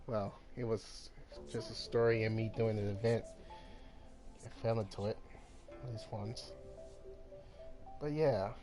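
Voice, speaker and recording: A man live streaming a game with casual, informal commentary, muttering to himself between louder reactions. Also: on the low side, fairly even intonation, unhurried, pauses often, soft background music.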